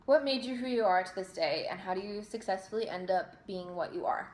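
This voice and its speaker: A young woman from New Jersey chats through viewer questions in a relaxed, self-deprecating way, often restarting and trailing off. Her voice sits at a middling pitch, and the room gives it a noticeable echo. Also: brisk. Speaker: singer and vlogger. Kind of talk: casual Q&A reading viewer questions. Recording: roomy echo.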